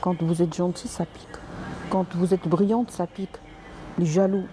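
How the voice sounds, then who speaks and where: A woman's voice: on the low side, fairly even intonation, moderate pace, warm tone, a little hiss or hum. A woman doctor answering viewers' comments on a live stream, polite but direct, with frequent hesitations and restarts, slipping in English and Arabic words.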